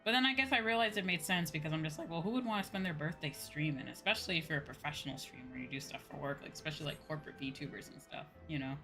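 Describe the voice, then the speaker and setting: Female game streamer, low-voiced, informal and rambling, clear music underneath. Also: fast, few pauses, pushed and bright. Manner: rambling, informal, thinks aloud. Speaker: livestreamer playing an online game. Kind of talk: casual livestream chat with game commentary. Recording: music behind the voice.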